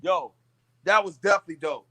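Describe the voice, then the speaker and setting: High-voiced man in his thirties. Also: fairly quick, a bit bright. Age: 30s. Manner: slangy, joking. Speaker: podcast panelist. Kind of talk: casual wrestling chat.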